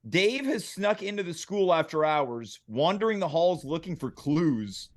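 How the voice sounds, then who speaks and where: Animated higher-pitched man reading stage directions. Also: a little slow. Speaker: stage-direction reader at a comedy table read. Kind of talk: scripted narration, read aloud.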